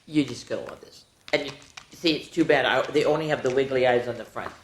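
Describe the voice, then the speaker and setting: A woman's voice: a bass voice, fast talker, slight background noise. In a roomy, echoing space, an older woman hosts a children's story time. She speaks in a playful, folksy way, breaking from the book for chatty asides to the kids. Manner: playful, folksy, addresses the young listeners directly. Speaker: storytime host reading picture books to children.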